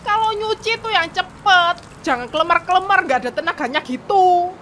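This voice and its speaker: A woman's voice: lively intonation, normal speed, bright, forceful tone, slight background noise, exaggerated voice. A woman voicing a stingy, bossy middle-aged wife who runs a food stall and nags her staff. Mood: scolding. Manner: scolding, shrill and nagging. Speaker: voice actor. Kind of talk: cartoon drama voice acting.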